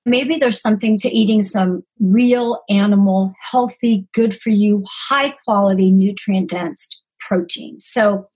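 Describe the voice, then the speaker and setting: A woman's voice: medium pitch, unhurried, hardly any pauses, a little mellow, slightly rough, low-quality recording. A woman, telling her own story conversationally as an interview guest. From born in Greece, grew up in the United States. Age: 40s. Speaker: podcast guest, holistic nutritionist.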